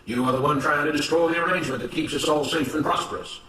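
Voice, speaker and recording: A man's voice: on the high side, steady tone, fast, voice pushed up, close to an everyday voice. Self-righteous plantation master, acted by a male narrator: oratorical, lecturing, authoritarian, recorded at a distance with faint noise. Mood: accusing. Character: self-righteous, authoritarian plantation boss, man preaching order. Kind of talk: dramatized story reading. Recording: roomy, noisy, distant microphone.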